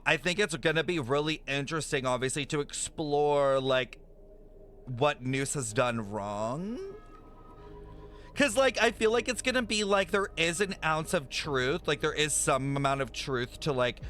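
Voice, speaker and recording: A man's voice: mid-range voice, a little slow, fairly bright tone, soft background music. A man streaming a game reaction, talking casually with plenty of swearing and filler words. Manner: casual, profane, lots of filler "like".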